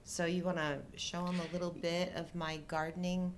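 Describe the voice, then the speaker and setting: A woman's voice: fairly low pitch, little pitch movement, normal speed, a little hiss or hum. A middle-aged woman chatting casually as a co-host and vlogger. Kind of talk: casual chat.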